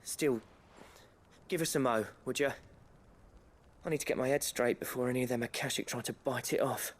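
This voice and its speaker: A man's voice: medium pitch, normal speed, close to an everyday voice. A male actor playing a plain-spoken, chummy fighter in a video game, wry and colloquial, with a working-class English accent. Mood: apologetic, casual. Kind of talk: dramatic game acting.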